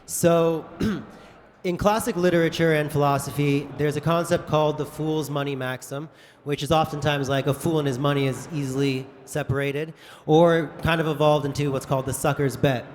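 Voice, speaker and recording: A man moderating a conference panel debate, brisk and joking in an informal way. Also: on the high side, fairly even intonation, a little hiss or hum. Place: large conference hall. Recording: echoey conference hall.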